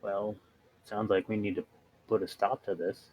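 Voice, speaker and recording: A man playing a tabletop role-playing game, talking casually and haltingly as he works things out. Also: fairly low pitch, level tone, darkish tone. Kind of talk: casual in-character role-play chat.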